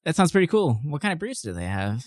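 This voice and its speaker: A man's voice: on the high side, very animated, quick pace, a little mellow, no echo, studio quality, pitched above the performer's own voice. A man playing a fantasy adventurer in a scripted comic ad skit, in an exaggerated, theatrical manner. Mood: eager, amazed. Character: weary adventurer turning eager in comic ad skit.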